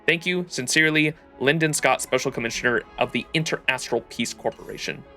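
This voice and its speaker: A man's voice: medium pitch, a little fast, bright, forceful tone, faint music behind. A man livestreaming a video game, reading its story text aloud and reacting to it.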